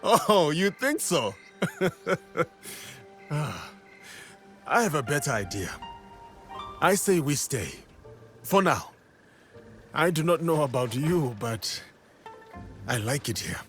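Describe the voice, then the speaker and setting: An actor playing a calm, principled warrior in a game drama, speaking in a measured, formal and deliberate way. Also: male voice, on the high side, faint music behind, exaggerated voice. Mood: playful, fond. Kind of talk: dramatic game cutscene acting.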